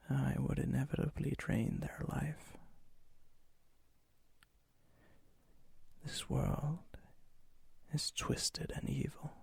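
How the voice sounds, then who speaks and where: A man playing a lonely, remorseful vampire in a whispered ASMR roleplay, with a breathy, gravelly voice and a halting, intimate manner. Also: mid-range voice, a little mellow, no room sound, heavily acted. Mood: bitter, sorrowful. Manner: slow, halting, subdued, intimate. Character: lonely, brooding, remorseful vampire, softening toward a stranger.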